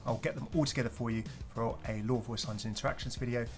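A man's voice: mid-range voice, fairly even intonation, fast talker. A man narrating a gaming preview video as its host, in an upbeat scripted style. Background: background music.

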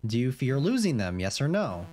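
A man's voice: medium pitch, big pitch swings, moderate pace, darkish tone. A young man streaming a game, chatting casually and rambling, with lots of fillers and restarts, and reading on-screen questions aloud.

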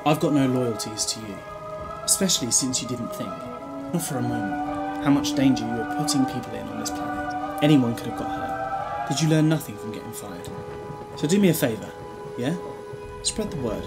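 A man's voice: medium pitch, animated, normal speed, vocal fry, over loud music. A British man playing a glib, protective time-travelling hero in an audio drama, wry and chatty with a scolding streak. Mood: stern. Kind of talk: fan audio drama acting.

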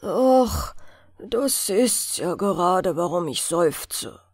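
Woman acting out an earnest, animated poor woodcutter. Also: on the low side, very slow, breathy edge, no echo, studio quality, heavily acted. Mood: troubled. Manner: earnest, humble, sighing. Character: poor, worried, good-hearted male woodcutter and father.

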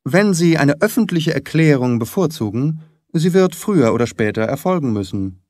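Man voicing a gentleman detective, shrewd, composed, precise, insistent, lively intonation, audiobook. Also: a little high, moderate pace, dry recording, studio quality, pitched above the performer's own voice. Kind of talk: audiobook character acting.

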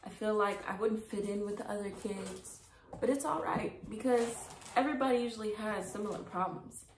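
Young woman, storybook reader, casual asides, medium pitch, roomy echo. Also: normal speed, noisy background. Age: young adult. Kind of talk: children's read-aloud with casual asides. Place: small indoor room. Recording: echoey room.

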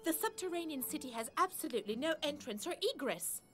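A woman's voice: high voice, animated, fast talker, fairly bright tone, faint music behind. A woman voicing a brainy, prim, bossy young adventurer in a cartoon, with precise, formal wording. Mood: studious.

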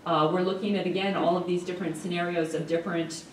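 A middle-aged woman gives a conference keynote from a podium, walking through her points with lots of ums and uhs. Her voice sits a little low and is picked up from far back in a large, echoey hall. A faint hum of the room lies underneath. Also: steady tone, fast. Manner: explanatory, frequent ums and uhs. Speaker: keynote speaker. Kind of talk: conference presentation. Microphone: podium mic, recorded from the audience. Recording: roomy hall audio from far off.

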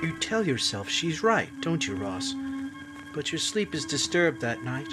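Brief: actor as a knowing 1940s radio narrator, raised pitch, lively, music behind. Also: male voice. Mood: ominous. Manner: addresses the listener as you, measured. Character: ominous omniscient male narrator, knowing.

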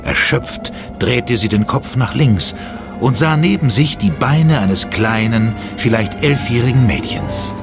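A man's voice: medium pitch, expressive, unhurried, music in the background. A man giving dramatic scripted narration as the narrator of a German horror audio drama.

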